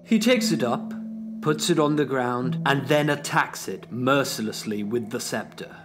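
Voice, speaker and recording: Guy narrating a play, slow and serious, guitar music behind him. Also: medium pitch, expressive. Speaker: narrator reading stage directions. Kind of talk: scripted dramatic narration. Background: plucked guitar music.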